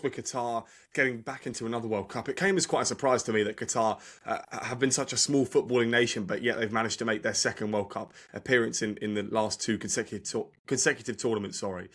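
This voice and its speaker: Male podcast host, mid-pitched voice, friendly direct questions. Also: a bit bright. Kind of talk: interview questions.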